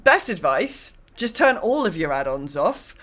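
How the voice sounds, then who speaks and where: A woman's voice: lowish voice, sing-song, measured pace, fairly bright tone. A woman co-hosting a podcast, casually talking through news items. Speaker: podcast co-host.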